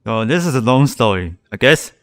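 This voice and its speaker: A man's voice: mid-range voice, big pitch swings, moderate pace, background noise. A man livestreaming a game, giving casual offhand commentary into a close microphone.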